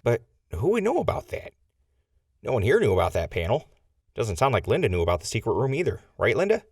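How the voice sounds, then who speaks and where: A man's voice: mid-range voice, huge pitch swings, brisk, gravelly, darkish tone, husky, no echo, clean studio sound, exaggerated voice. A man playing a wry, inquisitive sidekick investigator in a radio drama, folksy and conversational. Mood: curious.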